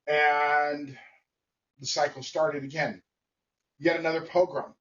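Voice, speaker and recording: A man giving a blunt, emphatic opinion monologue to camera, speaking in short phrases with pauses. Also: fairly high pitch, level tone, fairly bright tone, recorded from a distance, dull audio. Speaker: vlogger.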